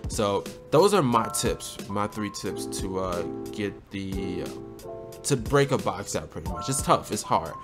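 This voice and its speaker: A man with a slightly hoarse voice from a cold, giving a relaxed, conversational game tutorial as a channel host. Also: on the low side, measured pace. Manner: relaxed, conversational, often asks "right?". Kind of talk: casual game tutorial commentary. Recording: music playing under the voice.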